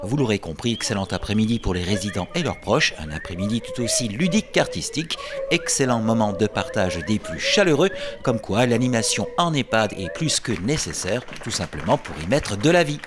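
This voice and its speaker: A man's voice: fairly low pitch, very animated, a little fast. A man reading an upbeat news voice-over. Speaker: news reporter.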